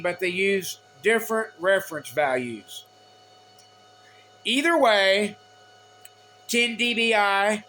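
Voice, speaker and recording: A man giving an informal technical explanation from notes, speaking haltingly in short, repeated phrases. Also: sits high, sharp tone, a little hiss or hum. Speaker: explainer of radio antenna measurements.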